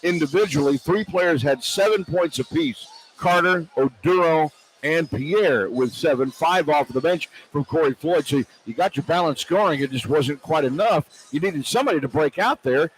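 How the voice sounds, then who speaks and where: A man's voice: on the high side, moderate pace, a little hiss or hum, low-quality recording. A man doing live play-by-play radio commentary at a basketball game, recapping as an announcer on an arena broadcast.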